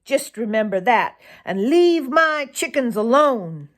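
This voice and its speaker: A woman voicing a good-natured, merry farm boy in a storybook, shouting and teasing. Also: on the high side, sing-song, takes it slowly, voice pushed up. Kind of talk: storybook character voice.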